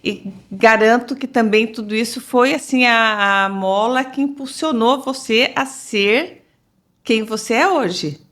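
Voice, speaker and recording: A woman's voice: a little high, a little slow, a bit bright. A woman hosting a podcast interview who affirms the guest and sums up his story in her own words. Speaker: podcast host. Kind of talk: conversational interview.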